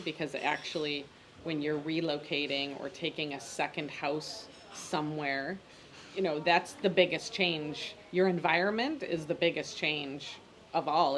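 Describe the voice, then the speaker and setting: A woman's voice: low voice, low-quality recording. A woman interviewing a guest in an engaged, affirming way. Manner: engaged, affirming, uses metaphors. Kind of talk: interview conversation.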